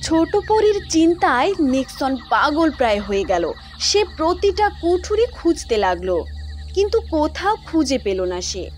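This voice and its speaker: High, animated woman storyteller. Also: moderate pace, slight background noise. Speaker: narrator. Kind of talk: scripted dramatic fairy-tale narration.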